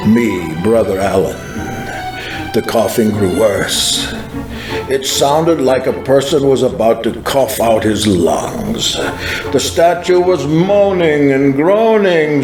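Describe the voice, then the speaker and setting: A man playing an anguished evangelist who recounts an apocalyptic vision in fervent, theatrical first-person narration. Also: fairly high pitch. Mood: mournful awe. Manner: theatrical, fervent, swelling and falling dramatically. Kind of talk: dramatized first-person narration over music. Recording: echoing voice under loud music.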